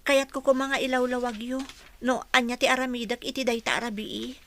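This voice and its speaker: An actress playing a young, spirited but wary housekeeper in an Ilocano radio comedy-drama. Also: female voice, on the high side, fairly even intonation, fairly quick, a bit bright, slight background noise.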